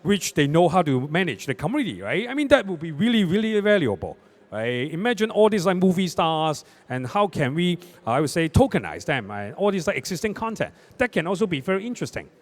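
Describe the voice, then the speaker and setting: Guy on a panel, kinda high voice, bounces all over, keeps saying right?. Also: brisk. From Hong Kong. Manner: animated, tags statements with "right?". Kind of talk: panel discussion. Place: conference stage.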